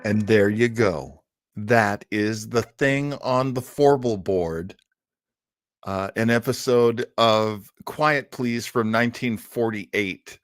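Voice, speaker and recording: A man's voice: on the low side, takes it slowly, no room sound. An older man hosting an old time radio show, chatting casually with live-stream listeners in a rambling, enthusiastic way.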